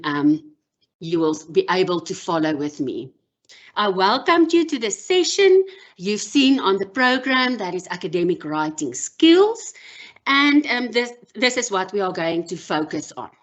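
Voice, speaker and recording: A woman whose first language is Afrikaans gives a measured online workshop as a university lecturer, with frequent pauses and ums. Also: mid-range voice, highs cut off. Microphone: video call.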